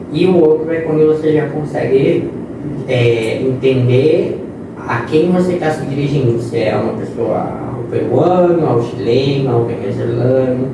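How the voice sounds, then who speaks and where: A young man in his twenties, a guest on an interview show, explaining his experiences with examples in casual conversation. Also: mid-range voice. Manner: explains with examples and quoted phrases. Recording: echoey room, distant mic, noisy.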